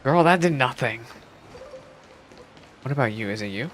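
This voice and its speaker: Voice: medium pitch, lively intonation, moderate pace, slight background noise. A streamer giving casual, slangy reaction commentary on a game, exclaiming and wandering into chatty asides. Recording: game music behind the voice. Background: game music and dialogue.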